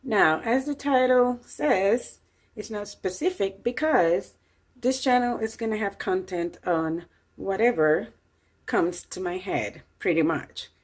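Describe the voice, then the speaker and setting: A woman's voice: medium pitch, slow. A woman in her fifties from Puerto Rico, with a Puerto Rican Spanish accent and Spanish as her first language, chatting casually to her channel's viewers with frequent fillers and easy laughs. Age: 50s. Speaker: channel host. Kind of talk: casual chat to camera.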